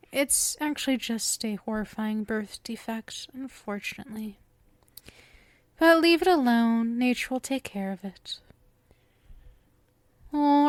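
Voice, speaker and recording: Casting: actress for lovestruck yandere witch, high-pitched and unhurried, coy and clingy with a sinister edge, intimate ASMR roleplay. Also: dry recording. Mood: cheerful, darkly playful, then doting, coy. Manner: coy, cooing, breaks into whispers. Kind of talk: ASMR roleplay acting, intimate address to listener.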